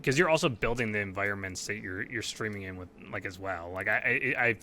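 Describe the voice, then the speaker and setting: Bright-voiced young man asking rambling questions. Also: on the low side, fairly quick, slight background noise. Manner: rambling questions with many restarts. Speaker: podcast co-host. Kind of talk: casual video call interview. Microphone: headset mic on video call.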